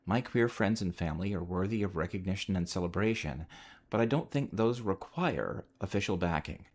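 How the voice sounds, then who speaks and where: Man, slightly low voice, scripted podcast contribution, measured, articulate. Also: lively intonation, darkish tone. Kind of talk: scripted voice-message report.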